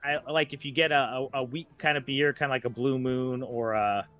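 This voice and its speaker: A man's voice: medium pitch, steady tone, fairly quick, a bit bright, soft background music. A man talking casually as a podcast guest, informal, with trailing asides. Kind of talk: casual chat.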